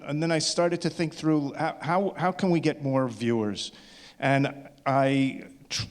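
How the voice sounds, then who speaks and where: Male filmmaker in Q&A, medium pitch, informal, halting and repetitive phrasing. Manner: hesitant, repeats words while thinking. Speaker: filmmaker answering audience questions. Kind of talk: informal question-and-answer talk.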